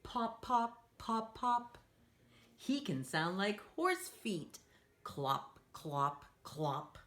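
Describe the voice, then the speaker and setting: A woman's voice: medium pitch, extremely slow. A woman hosting a read-aloud storytime for young children, speaking in a playful, encouraging way. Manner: animated, encouraging, asks listeners to join in; playful, acts out sound effects.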